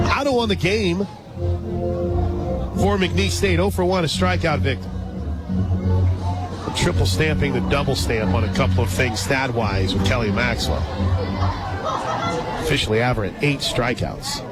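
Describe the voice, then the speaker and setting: A man doing live radio play-by-play of softball, chatty and informal, drifting into conversational asides between pitch calls. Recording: crowd noise and loud music behind. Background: ballpark crowd, music, outdoor stadium ambience.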